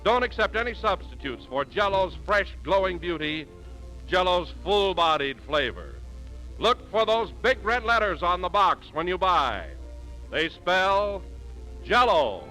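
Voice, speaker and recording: A man's voice: sits high, big pitch swings, measured pace, sharp tone, soft background music, low-quality recording. An American man announcing in a polished, emphatic 1940s radio commercial style. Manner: polished, emphatic sales delivery.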